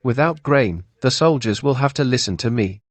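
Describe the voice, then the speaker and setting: A man's voice: mid-range voice, animated, normal speed, no echo, lightly acted. A man playing a cowardly, scheming chancellor in a dubbed comic drama, barking clipped, blustering orders. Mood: paranoid, harsh. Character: cowardly, desperate male chancellor, scheming and cruel.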